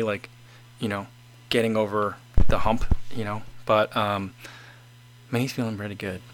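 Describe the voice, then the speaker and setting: A man's voice: fairly low pitch, low-quality recording. A man in his forties casually chatting as host, reading out viewer comments and rambling with many restarts. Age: 40s.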